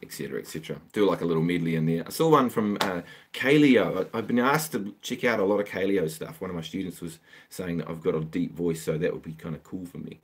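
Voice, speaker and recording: A man's voice: deep voice, fast. A man who teaches guitar, chatting casually on a live stream at home and working through viewer song requests in a rambling, easygoing way. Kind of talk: casual live-stream chat between songs. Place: home, with a water pump running in the background.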